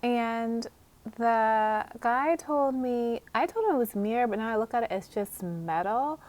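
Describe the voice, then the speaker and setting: A woman's voice: a little high, expressive, unhurried, darkish tone. An American woman chatting casually to camera as a craft vlogger, informal and thinking aloud as she goes.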